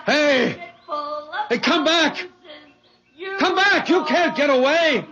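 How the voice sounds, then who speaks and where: A protective, alarmed traveler in old radio drama style. His voice is high for a man and animated, urgent in manner, on a dull vintage broadcast recording. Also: takes it slowly, heavily acted. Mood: urgent shouting. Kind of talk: radio mystery drama acting.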